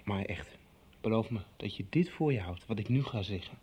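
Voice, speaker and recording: An actor in a radio soap playing an earnest young man, probing and confiding, with a husky voice. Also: fairly low pitch, lively intonation, moderate pace, darkish tone. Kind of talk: 1990s Dutch radio soap acting.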